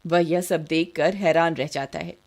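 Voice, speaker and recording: A woman reading scripted narration for an animated horror and moral story. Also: low voice, a little slow.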